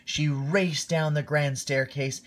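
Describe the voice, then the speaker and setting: A man reading a bedtime story aloud to his child on a phone livestream, expressive with quiet asides. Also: a little high, slow.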